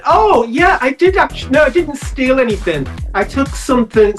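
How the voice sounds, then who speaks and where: Gushing, animated drag queen, a man. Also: very high-pitched, moderate pace, soft background music. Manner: gushing, animated storyteller who laughs often. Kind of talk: chatty interview.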